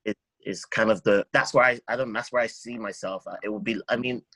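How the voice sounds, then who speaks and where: A man speaking as a songwriter guest in an interview, reflective and halting, often repeating words as he thinks. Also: fairly low pitch, fairly even intonation, sharp tone, dry recording.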